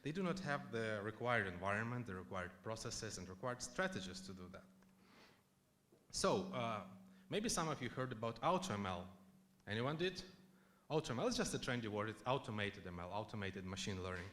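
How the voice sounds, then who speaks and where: A man's voice: fairly low pitch, animated, fairly quick, fairly bright tone. A man from Ukraine giving a conference talk, chatty and loose, full of fillers and restarts. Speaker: conference speaker. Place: conference hall.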